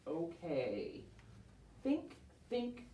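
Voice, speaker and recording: A woman plays a mild puppet hero, puzzled and thoughtful. The talk is slow and tentative, a bit distant from the microphone in an echoey room. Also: fairly low pitch, wildly swooping pitch, warm tone, a little hiss or hum, voice pushed down, exaggerated voice. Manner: hesitant, musing. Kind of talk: children's puppet show. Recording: roomy echo, distant microphone.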